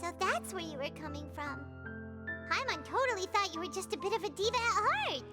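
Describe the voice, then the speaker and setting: A woman voicing a small, chirpy, blunt childlike fairy companion with a squeaky voice in a video game. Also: sing-song, a little fast. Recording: music behind the voice.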